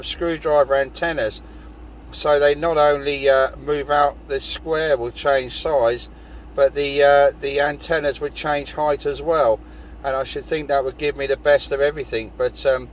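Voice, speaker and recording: A British man with an average-pitched voice is having a casual amateur radio chat. He rambles along conversationally. Noise from the vehicle and outdoors sits around his voice. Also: low-quality recording. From United Kingdom.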